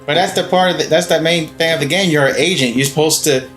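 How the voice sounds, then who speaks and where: A young man chatting over gameplay, teasing and excitable. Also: on the high side, quick pace. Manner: teasing, excitable, laughs often. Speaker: gameplay co-host. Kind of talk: casual gaming chat. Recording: game music underneath.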